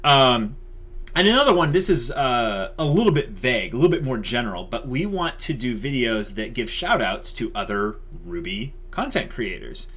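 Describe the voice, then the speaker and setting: A man's voice: mid-range voice, big pitch swings, normal speed, a bit bright, husky. Man casually explaining channel plans. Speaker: channel host. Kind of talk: casual discussion to viewers.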